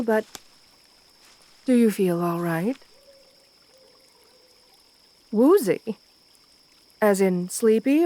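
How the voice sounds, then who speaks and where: A woman's voice: medium pitch, darkish tone, close to an everyday voice. A woman playing an assertive, flirtatious vampire countess in an ASMR roleplay, speaking to the listener in a genteel, doting way. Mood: doting, coaxing. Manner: genteel, doting, uses pet names.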